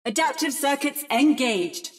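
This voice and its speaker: Female game robot, high voice, slow pace, precise, theatrical, adaptive mimic of heroes. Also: animated, soft background music. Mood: calm, synthetic. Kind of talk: video game voice lines.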